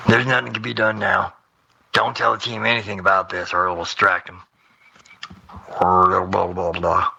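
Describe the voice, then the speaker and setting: Casting: male voice actor, lowish and gravelly, forceful edge, loose rehearsal takes. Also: level tone, moderate pace. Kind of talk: recording takes with casual asides.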